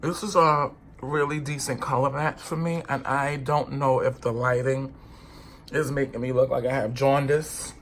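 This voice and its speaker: A man's voice: medium pitch, level tone, moderate pace, a bit bright, slight background noise. A man with a stuffy, congested voice, chatting casually and sassily to camera as a beauty vlogger. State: congested with a cold. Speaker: beauty vlogger reviewing foundation.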